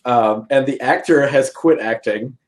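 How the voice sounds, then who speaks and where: A man with an average-pitched voice speaks as a guest in a relaxed conversation. He tells anecdotes informally, with a wry touch to his phrasing. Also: measured pace. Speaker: podcast guest. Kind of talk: casual chat, anecdotes.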